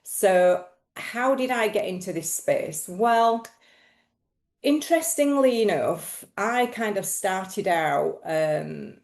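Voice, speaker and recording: A woman's voice: medium pitch, animated, slow pace, gravelly, darkish tone. A woman from England, explaining her field earnestly as a podcast guest. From Northern England. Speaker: guest expert. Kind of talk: podcast interview. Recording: roomy echo.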